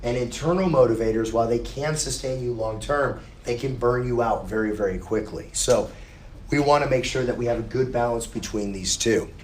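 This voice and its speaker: A hoarse man, still getting his voice back, gives a casual, reassuring college class lecture. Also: medium pitch, a little fast, a little mellow, reverberant, slight background noise. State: hoarse, recovering lost voice. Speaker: college instructor.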